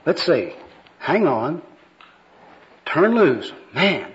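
A man's voice: a little high, expressive, fairly quick, natural voice. Man as wary skid-row bum, lo-fi. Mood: bemused. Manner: slangy, skeptical, sarcastic. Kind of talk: amateur skit read aloud. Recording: dull, lo-fi recording.

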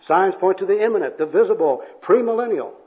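A man's voice: high-pitched, expressive, a little fast, narrow, tinny audio, pitched above the performer's own voice. A man voicing a pious old gospel worker in an amateur comic skit, with a fervent, florid, preachy delivery.

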